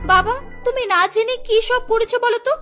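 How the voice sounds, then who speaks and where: A woman's voice: very high-pitched, fairly even intonation, moderate pace, bright, forceful tone, faint music behind, pitched above the performer's own voice. A woman voicing a headstrong, protective young wife and daughter in a cartoon, pleading and insistent. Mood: upset. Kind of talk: cartoon story dubbing.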